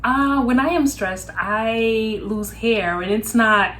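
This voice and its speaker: A woman's voice: on the high side, slow pace, fairly bright tone, a little hiss or hum. A woman from Zimbabwe with a Texan accent, speaking openly and earnestly as a guest in a casual chat. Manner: open, earnest, reflective. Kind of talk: casual conversation.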